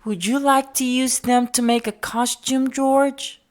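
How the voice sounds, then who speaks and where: A woman voicing a kindly, obliging party hostess in a children's storybook, in a bright, encouraging singsong. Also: high voice, steady tone, a little slow, voice pushed up. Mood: helpful, inviting.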